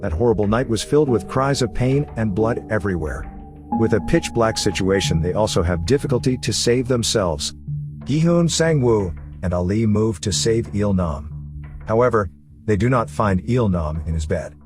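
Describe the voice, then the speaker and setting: A man's voice: fairly low pitch, animated, normal speed, over music. A man reading scripted narration for a story recap video, as its narrator.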